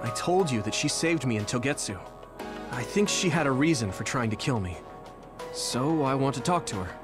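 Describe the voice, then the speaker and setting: A man's voice: mid-range voice, lively intonation, normal speed, music in the background, close to an everyday voice. A man voicing an earnest young soldier who commands a platoon in an anime-style game.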